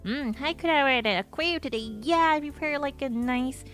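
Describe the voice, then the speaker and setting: A woman streamer chatting casually with a low voice, giggling often and mixing in Japanese phrases. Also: normal speed, fairly bright tone. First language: not Japanese. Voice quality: lower voice, prefers low register. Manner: chatty, giggly, switches between English and Japanese. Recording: faint music behind.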